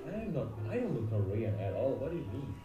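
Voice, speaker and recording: A man's voice: mid-range voice, sing-song, quick pace, far from the mic, muffled recording. Playful Indonesian guy on a video call. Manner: teasing, playful. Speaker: video chat streamer. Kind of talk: casual chat. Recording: roomy sound off a video call, music behind.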